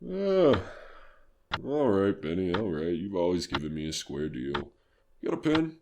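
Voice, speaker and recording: Man playing deep-voiced, weary, amiable businessman. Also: very animated, measured pace, background noise, heavily acted. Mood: puzzled, yielding. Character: weary, amiable married businessman, reluctant to linger. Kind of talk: retro audio horror drama acting.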